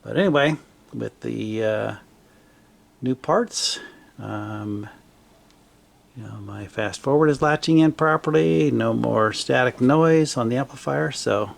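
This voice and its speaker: A man casually narrating a hands-on repair demonstration in a halting, unscripted way, recorded in a home workshop on a camera mic. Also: medium pitch, creaky. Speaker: hobbyist repairer presenting his own video.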